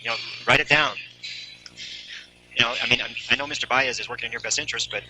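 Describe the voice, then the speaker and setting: Fast-talking middle-aged man, noisy call. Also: mid-range voice, fairly even intonation, sharp tone. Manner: halting, repetitive, pleading. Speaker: father on a jail call. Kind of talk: earnest family phone conversation. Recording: noisy, humming recorded jail call.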